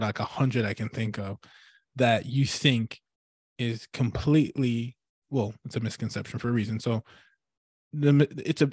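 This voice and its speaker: A man hosting a podcast interview in a casual, rambling way, thinking out loud with many fillers. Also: medium pitch, slightly rough, no room sound. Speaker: podcast host.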